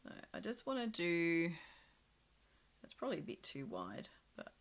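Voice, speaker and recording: A woman with a slightly croaky voice from a cold, casually demonstrating a craft project live and thinking aloud as she works. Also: fairly low pitch, wildly swooping pitch, normal speed. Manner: chatty, thinking aloud with many ums and small laughs.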